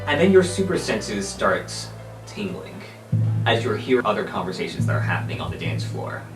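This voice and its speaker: A man's voice: fairly low pitch, big pitch swings, normal speed. A man improvising casual narration as game master of a tabletop roleplay podcast, hedging often as he goes. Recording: roomy, distant mic, music behind.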